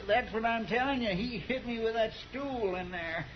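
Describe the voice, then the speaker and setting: An actor playing a loyal, folksy western deputy with an American Western drawl, in 1950s radio drama style. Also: male voice, extremely high pitch, moderate pace. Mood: dazed, hurt. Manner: folksy, plain-spoken. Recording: muffled, noisy 1950s radio recording.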